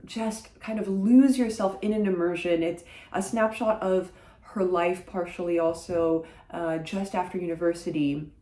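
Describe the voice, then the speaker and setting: Bilingual woman with a lowish voice. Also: fairly even intonation, a little slow, dark tone. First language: French. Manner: thoughtful, hesitant, many uh fillers. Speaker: book vlogger. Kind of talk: casual book review to camera. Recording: roomy echo.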